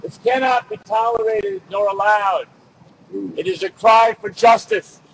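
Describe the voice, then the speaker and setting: A middle-aged man gives an emphatic, loudly projected political speech at an outdoor rally, reading from notes. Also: high voice, unhurried, low-quality recording. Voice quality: loud, projected voice. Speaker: rally speaker. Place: outdoors on city building steps in winter. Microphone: camera a few feet away. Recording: outdoor street noise, no microphone.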